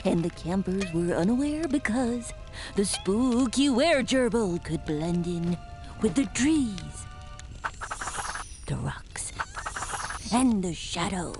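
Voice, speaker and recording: A dramatic cartoon pet spinning a spooky campfire tale. The voice sits at a middle pitch, slow and suspenseful, dropping and swelling widely over clear music. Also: female voice, lively intonation. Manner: hushed, suspenseful storytelling. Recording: music behind the voice.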